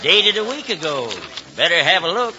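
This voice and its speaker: An actor playing a suspicious, gruff, challenging ranch foreman in an old Western radio drama. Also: high-pitched, very animated, moderate pace, background noise. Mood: needling. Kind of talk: 1950s Western radio acting. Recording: dull old radio recording.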